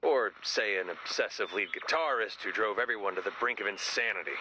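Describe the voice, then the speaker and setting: An actor playing a dry, sardonic but loyal rock musician in a video game, speaking with wry sarcasm. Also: male voice, fairly low pitch, a little fast, sharp tone, a little hiss or hum, poor audio. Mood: dry, pointed.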